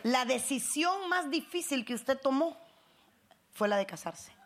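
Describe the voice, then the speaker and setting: A woman pastor with a Cuban Spanish accent delivers a sermon in a high voice. She calls on the congregation for responses and climbs into emphatic bursts. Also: animated, unhurried, background noise. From Cuba.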